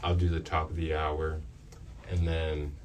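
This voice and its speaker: A young man hosting a casual radio interview, halting and informal as he feels his way toward his questions. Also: extremely low pitch, flat delivery, a little mellow. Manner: halting, informal, groping for phrasing. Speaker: student radio interviewer and host. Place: student radio studio. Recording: off-mic, distant sound with background noise.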